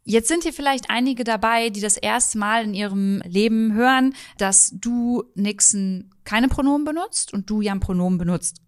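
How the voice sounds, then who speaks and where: A woman hosting a podcast interview, recorded indoors in a shop, asking her guests clear, personal questions. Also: on the high side, moderate pace, hardly any pauses. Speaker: podcast host.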